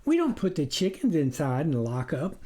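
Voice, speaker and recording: A man's voice: fairly high pitch, sing-song, moderate pace, dark tone. A man voicing a polite, practical farmer's wife in a storybook, homely and folksy. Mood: neighborly. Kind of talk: storybook character reading.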